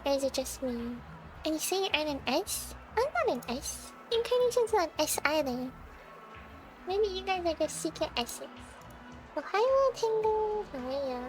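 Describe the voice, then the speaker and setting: A woman's voice: sits high, rough recording. A woman live streamer chatting casually with her viewers as she plays a game, in a playful, giggly, teasing way.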